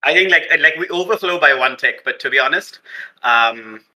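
A man's voice: medium pitch, animated, normal speed, sharp tone, rough recording. A man playing a tabletop game, chatting casually in loose, hedging phrases.

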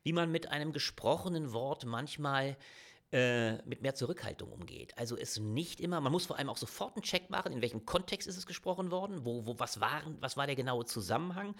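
A man's voice: medium pitch, expressive, a bit bright. A man speaking as a podcast guest, emphatic in conversation, with many restarts and repeated words. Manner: emphatic, talks in quick bursts with many restarts. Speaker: guest, political commentator.

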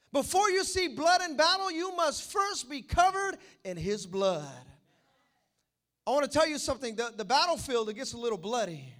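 A man preaching with lively, animated delivery and asides to the congregation. His voice sits very high with a bright edge. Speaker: pastor. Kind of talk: sermon.

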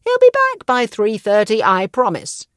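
A man's voice: sits high, wildly swooping pitch, moderate pace, fairly bright tone, no echo, studio quality, heavily acted. A woman reading the lines of a spiteful middle-aged man who taunts with sarcasm. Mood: brisk.

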